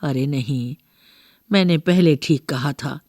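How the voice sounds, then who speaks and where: A woman's voice: low-pitched, a little mellow, no echo, studio quality, pitched below the performer's own voice. A woman voicing a rambling, doting old grandmother who keeps correcting herself in a storybook reading. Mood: reminiscing, muddled. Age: older. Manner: slow, rambling, self-correcting.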